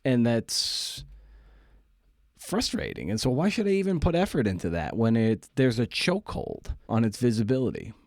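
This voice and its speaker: A man hosting a podcast in a casual, confessional monologue, halting and self-deprecating, with casual swearing. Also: mid-range voice, sing-song, darkish tone, no room sound, clean studio sound. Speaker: podcast host. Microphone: close podcast microphone.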